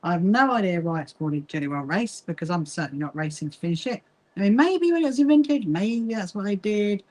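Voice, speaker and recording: A woman's voice: medium pitch, animated, fast talker, a little mellow. A woman from England's south coast with a mixed Kentish and Sussex accent, chatting casually with livestream viewers while she sews. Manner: chatty, greets viewers and answers their comments. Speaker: livestream host of a quilting association.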